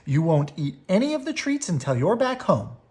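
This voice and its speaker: A woman's voice: lowish voice, very animated, moderate pace, warm tone, pitched above the performer's own voice. A woman voicing a reasonable, firm and encouraging mother bear in a children's storybook.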